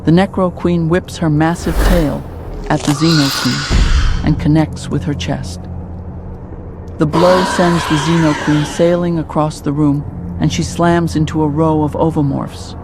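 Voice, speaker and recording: A man's voice: fairly high pitch, flat delivery, a little slow, background noise. A man giving dramatic scripted narration for a horror audio drama.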